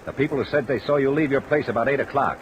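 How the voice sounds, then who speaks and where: A man playing a steady, sardonic police lieutenant in an old-time radio crime drama, pressing with questions, with rain behind. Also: medium pitch, fast, highs cut off, close to an everyday voice. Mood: dry, pressing. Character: dry, steady male police lieutenant interrogating a suspect. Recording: noisy vintage radio recording, rain sounds.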